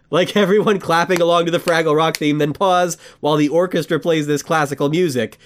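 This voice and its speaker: Chatty podcast host, rambling. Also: male voice, mid-range voice. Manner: rambling, self-correcting, excitable. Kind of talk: casual podcast chat.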